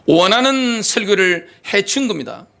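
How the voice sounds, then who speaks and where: A man's voice: high-pitched, lively intonation, moderate pace, vocal fry, a bit bright. A middle-aged man preaching a sermon as pastor of a church, emphatic, asking the congregation rhetorical questions. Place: church sanctuary.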